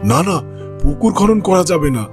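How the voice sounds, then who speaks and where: Haughty, higher-pitched cartoon king. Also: very animated, unhurried, music in the background. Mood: irritated, refusing. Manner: haughty, commanding, grumbling. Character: arrogant, stingy, irritable male king. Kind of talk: dubbed fairy-tale cartoon dialogue.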